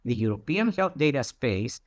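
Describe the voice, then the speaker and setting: Presenter doing an explainer talk, medium pitch that moves around a lot, asks questions then answers them. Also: sing-song, normal speed. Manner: didactic, question-and-answer delivery.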